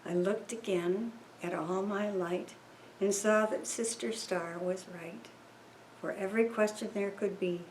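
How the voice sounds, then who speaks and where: A woman reading a rhyming children's picture book aloud in a measured, rhythmic way. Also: mid-range voice, a little mellow, reverberant, a little hiss or hum, poor audio. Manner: slow, measured, rhythmic delivery. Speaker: story reader at a church service.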